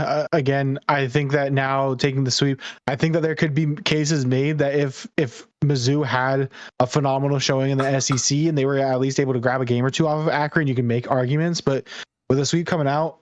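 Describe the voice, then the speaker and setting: A man's voice: medium pitch, a little mellow, dry recording, highs cut off. A man co-hosting a podcast with casual analysis, rambling and repeating words as he works through his thoughts. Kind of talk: casual esports discussion.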